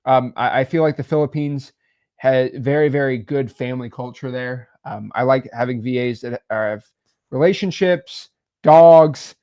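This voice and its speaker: A man hosting a casual business chat, rambling and animated with lots of fillers. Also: mid-range voice, moderate pace. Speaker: real estate podcast host.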